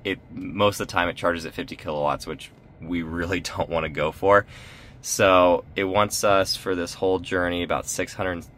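A man's voice: deep voice, fairly bright tone. A man casually chatting to viewers in a road trip vlog, upbeat and talkative, speaking from inside his car. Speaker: vlogger. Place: inside a parked or moving car.

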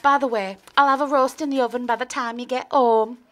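A woman's voice: high-pitched, steady tone, brisk, natural voice. A woman doing a cheeky girl. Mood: teasing. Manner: cheeky, slangy, teasing. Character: cheeky streetwise young woman.

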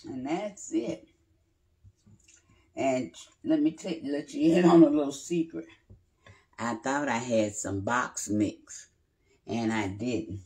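An older woman, chatting in an unhurried way as she cooks in her home kitchen and explains each step. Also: extremely low pitch, darkish tone. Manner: unhurried, chatty, talks while working with pauses and fillers. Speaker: home cooking channel host. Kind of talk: casual cooking demonstration. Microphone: phone camera a few feet away on the counter.

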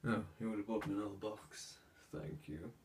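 A man's voice: lowish voice, normal speed, far from the mic, noisy background. A man voicing a haughty, sick young heir in an ASMR roleplay, formal and hesitant with fillers, stuffy with a cold and sneezing.

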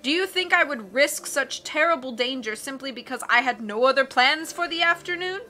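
Lady voicing a loud excitable sea captain guy, blustery, keeps saying aye, high bright voice. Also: moderate pace, faint music behind. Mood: blustering. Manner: booming, rattles off "aye"s and rhetorical questions.

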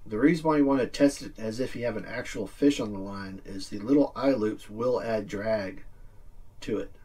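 A middle-aged man with a husky voice giving a casual, plain-spoken instructional demonstration, recorded indoors from a few feet away. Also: on the low side, darkish tone, recorded from a distance. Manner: plain-spoken, informal explaining. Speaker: fishing video presenter. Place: small indoor room with green screen backdrop.

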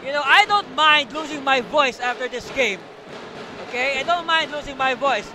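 A man calling a live basketball game from courtside, energetic and shouting on the big plays. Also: very high-pitched, normal speed. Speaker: play-by-play commentator. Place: courtside booth in a packed arena. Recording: noisy arena broadcast.

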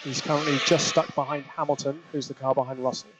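A man working as a race engineer, giving measured, clipped, often repeated instructions over team radio. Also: mid-range voice, little pitch movement. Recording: noisy team radio link with clicks.

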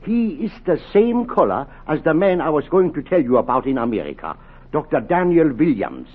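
A flustered, earnest professor in a vintage radio play. His voice is fairly high and husky, lively in pitch, with a stammering delivery, heard through a narrow, crackly old broadcast recording. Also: moderate pace. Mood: earnest, proud. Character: excitable, well-meaning male German professor of medicine. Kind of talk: 1940s radio drama acting.

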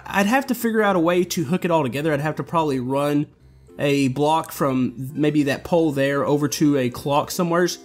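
Male gameplay host, slightly high voice, loose rambling tour, faint music bed. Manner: relaxed, rambling, many fillers and restarts. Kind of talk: casual walkthrough commentary. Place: voice-over while playing a building game.

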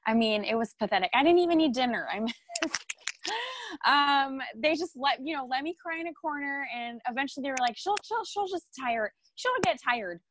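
A woman's voice: high-pitched, lively intonation, moderate pace, a bit bright, a little hiss or hum. A young woman from France as an interview guest, talking casually over a video call with lots of ums and laughs. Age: young adult. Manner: chatty, full of ums, laughs often. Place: home room with art on the wall. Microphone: laptop or phone camera on a video call.

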